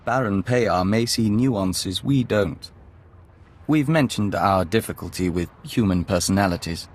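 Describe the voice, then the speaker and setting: An actor playing a calm diplomat joined to an insect hive, speaking formally and in measured phrases as "we" in a video game. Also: male voice, on the low side. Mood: calm, serene. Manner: measured, formal, refers to himself as we.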